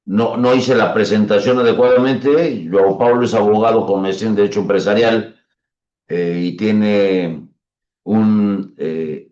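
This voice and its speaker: Low-voiced moderator in a roomy, dull recording. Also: male voice, darkish tone. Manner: casual, self-correcting. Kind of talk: moderating a webinar panel.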